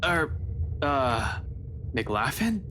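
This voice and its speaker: A man's voice: mid-range voice, sing-song, very slow, bright, forceful tone, a little hiss or hum, voice pushed up, exaggerated voice. A man voicing a wary, commanding police detective with a guarded, questioning manner in visual novel game dialogue. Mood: shocked.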